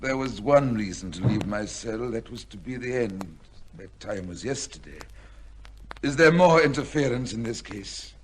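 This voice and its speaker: An actor playing a broken, condemned gentleman devoted to the law, speaking formally and haltingly in an old-time radio drama. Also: lowish voice, lively intonation, slight background noise, close to an everyday voice. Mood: weary, resigned. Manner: halting, impassioned, formal theatrical delivery.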